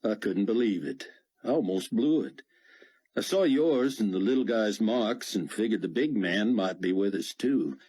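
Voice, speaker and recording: A man's voice: on the low side, lively intonation, moderate pace, darkish tone, slightly rough, pitched above the performer's own voice. A man voicing a brash, joking male smuggler pilot with a folksy Southern US drawl. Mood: bantering.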